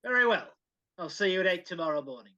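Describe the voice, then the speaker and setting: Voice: medium pitch, lively intonation, fast, bright, forceful tone. A performer reading a stern, miserly businessman with a curt, scornful manner in a live dramatic reading over a video call. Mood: gruff. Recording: dull lo-fi video-call audio.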